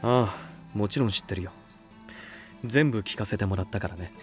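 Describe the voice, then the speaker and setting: A male actor playing an earnest, soft-spoken young record label head in an anime voice drama, speaking in a measured way. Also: lowish voice, faint music behind, natural voice. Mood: reflective.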